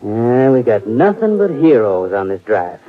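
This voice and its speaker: An actor playing a sullen, touchy young cowhand with a surly rural drawl. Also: male voice, mid-range voice, sing-song, unhurried. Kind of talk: 1950s radio drama acting. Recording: tinny old radio broadcast.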